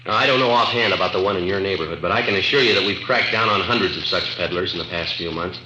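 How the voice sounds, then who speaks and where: Low-voiced, stoic American police detective, acted by a man. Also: background noise, lightly acted. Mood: firm, matter-of-fact. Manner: flat, matter-of-fact, deliberate. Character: calm, stoic, no-nonsense male police detective. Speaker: police sergeant and narrator. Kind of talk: 1950s radio drama acting, clipped narration. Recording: dull old radio recording, roomy, music behind.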